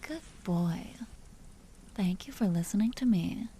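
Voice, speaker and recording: A woman voicing a dominant, teasing vampire duchess in an intimate audio roleplay, often dropping into breathy whispers. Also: medium pitch, lively intonation, measured pace, warm tone, a little hiss or hum. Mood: calmly threatening. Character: dominant female vampire duchess, seductive, teasing, coolly imperious. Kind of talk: scripted audio roleplay, intimate monologue to listener.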